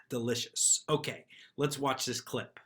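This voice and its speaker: A man's voice: medium pitch, pushed and bright, slight background noise. A man giving casual, chatty, off-the-cuff commentary on a news clip as a lawyer hosting his own show. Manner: informal, chatty. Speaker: lawyer commentator, channel host.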